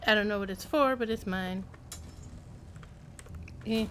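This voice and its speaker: A woman's voice: mid-range voice, measured pace, a bit bright, a little hiss or hum. A woman giving casual live commentary as she streams a game, in a wry, playful, rambling way.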